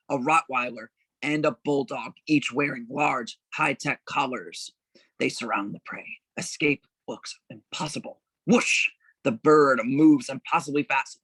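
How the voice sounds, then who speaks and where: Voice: mid-range voice, moderate pace, a bit bright, breathy edge, no room sound, poor audio. Dry-sounding table-read narrator of stage directions.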